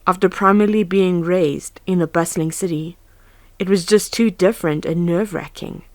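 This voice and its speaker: A woman's voice: fairly low pitch, normal speed, darkish tone, dry recording, close to an everyday voice. A woman playing an earnest, anxious but composed young huntress in a fan audio drama. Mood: reflective, uneasy. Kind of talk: fan audio drama, first-person narration and dialogue. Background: background music.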